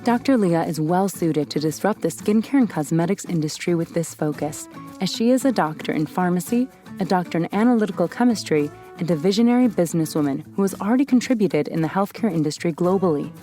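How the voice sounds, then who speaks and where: A woman reads a formal introduction from a script. Her voice is mellow and fairly low. Faint music plays behind her. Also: animated, fairly quick. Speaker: narrator of introduction. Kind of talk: scripted narration.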